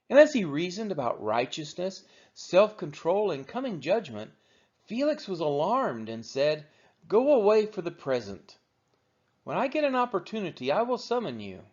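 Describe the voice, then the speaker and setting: A man reading the Bible aloud as scripted narration, in a measured, expressive oratorical manner with deliberate phrasing. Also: a little high, dull audio. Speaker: scripture reader of a daily read-along.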